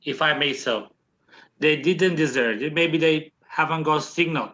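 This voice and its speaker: A man teacher from Romania, speaking haltingly and repeating words on an online video call. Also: on the high side, sharp tone. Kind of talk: online video meeting chat. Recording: muffled video call audio.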